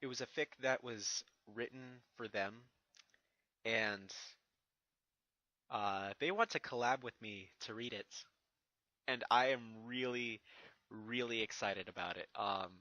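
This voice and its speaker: A man's voice: mid-range voice, slow, pauses often, pushed and bright, no room sound, muffled recording. A man who reads fan fiction, giving a casual channel update in a hesitant, rambling, stammering way. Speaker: fan fiction reader and online creator.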